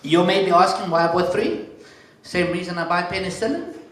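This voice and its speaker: A South African man hosting a comedy night from the stage, his voice sitting a little high for a man. He slips in deadpan one-liners between introductions. The sound rings out across a very echoey room. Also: a little fast. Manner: deadpan one-liners, chatty asides to the crowd. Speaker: comedy show MC. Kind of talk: stand-up compering.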